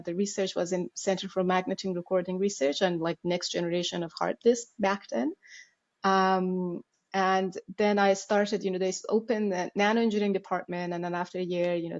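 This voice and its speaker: A woman's voice: medium pitch, a little mellow, dull audio. A woman from Iran, a Persian speaker, talking animatedly as a guest on a podcast and often laughing at herself. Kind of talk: podcast interview.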